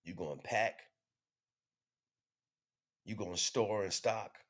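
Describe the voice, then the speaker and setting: A man delivering an impromptu spiritual monologue in an emphatic, repetitive, slangy street-preacher manner, with a husky voice. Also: lowish voice, slow, fairly bright tone. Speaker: spiritual teacher streaming online.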